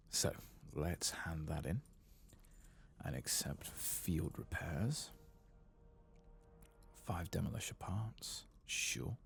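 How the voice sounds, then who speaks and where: A man giving soft-spoken ASMR commentary while gaming, murmuring and drifting into whispers. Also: deep voice, expressive, extremely slow, creaky, pauses often, a little hiss or hum. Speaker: gaming streamer, ASMR host.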